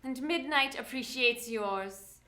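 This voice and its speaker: A woman's voice: sits high, slow pace, sharp tone, exaggerated voice. A woman playing a gracious, wealthy socialite in a live radio drama, formal, polished and composed. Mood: composed, sympathetic.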